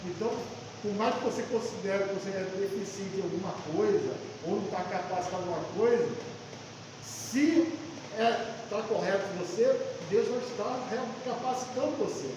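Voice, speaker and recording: A Brazilian man, a church member sharing informally at a Bible study, halting and restarting his words as he goes. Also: high voice. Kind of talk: informal personal testimony. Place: reverberant church meeting room. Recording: echoey hall, distant mic, clear noise.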